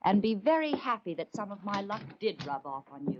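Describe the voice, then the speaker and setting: A woman's voice: mid-range voice, sing-song, normal speed, natural voice. An actress playing a shrewd, confident, commanding woman crook in a 1940s radio drama. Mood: cool, knowing. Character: shrewd, coolly confident female crook in charge. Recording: dull, noisy old radio recording.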